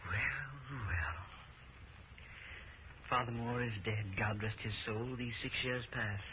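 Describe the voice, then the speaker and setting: A man playing a gentle, wistful village schoolteacher and composer, halting and reflective, in 1940s radio acting style. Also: medium pitch, lightly acted. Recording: muffled, noisy vintage radio recording.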